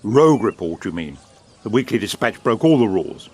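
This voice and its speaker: An actor playing an urbane, evasive British establishment gentleman who speaks formally and with measured insinuation in an audio drama. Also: lowish voice, sing-song, breathy edge. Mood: guarded, stern.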